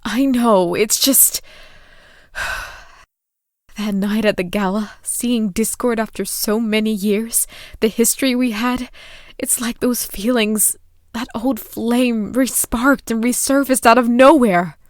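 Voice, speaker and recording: A regal pony princess, played by a woman, speaks in a slightly high voice recorded very dry. She is a lovelorn older sister in an audio drama, wistful and theatrical, given to sighing. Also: steady tone, slow, no echo. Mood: nostalgic. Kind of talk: scripted fan audio drama acting.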